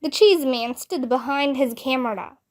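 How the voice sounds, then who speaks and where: A woman reading a children's book aloud as narrator, with expressive, deliberate delivery. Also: sits high. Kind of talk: scripted storybook narration in a child's first-person voice.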